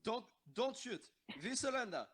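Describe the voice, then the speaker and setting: A man's voice: extremely high pitch, expressive, normal speed, a bit bright, slight background noise. A man playing a young tank soldier in an amateur audio drama. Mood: frantic.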